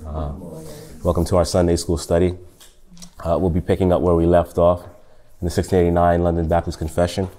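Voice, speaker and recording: Man in his thirties, very low voice, nasal from a cold, measured church teaching, meeting room. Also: flat delivery, mellow. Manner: measured, repeats "O Lord" and "right?". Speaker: Sunday school teacher. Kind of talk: church Sunday school lesson with prayer.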